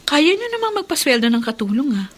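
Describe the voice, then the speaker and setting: A woman playing a talkative, sharp-edged housewife in a Filipino radio drama. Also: high voice, sing-song, quick pace. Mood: chatty.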